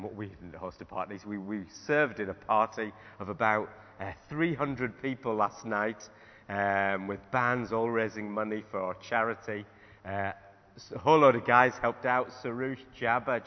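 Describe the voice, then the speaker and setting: A man, a pastor preaching a sermon in a croaky voice, often restarting his sentences. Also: on the low side. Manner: rambling with restarts. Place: church hall. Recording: dull sound in a reverberant hall.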